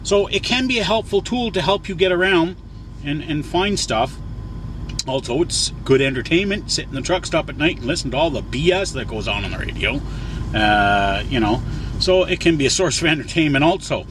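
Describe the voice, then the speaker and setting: A man's voice: fairly high pitch, animated, moderate pace. A man talking casually as a trucker vlogger, explaining things in a rambling, folksy way and repeating himself for emphasis. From Canada. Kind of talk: casual explanatory vlog talk. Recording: clear vehicle and outdoor noise. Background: vehicle and outside noise.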